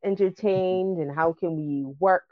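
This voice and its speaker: A woman's voice: on the low side, unhurried, no echo, lo-fi sound. A woman hosting a casual interview, encouraging and rambling, with many fillers and restarts. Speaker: interview host.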